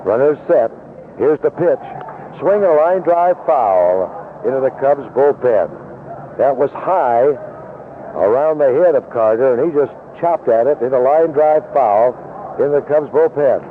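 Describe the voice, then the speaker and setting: A man calling baseball play-by-play for radio in clipped, descriptive phrases with pauses between plays. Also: medium pitch, animated. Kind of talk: live sports commentary. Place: outdoor ballpark broadcast booth. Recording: noisy narrow-band 1970s AM radio broadcast.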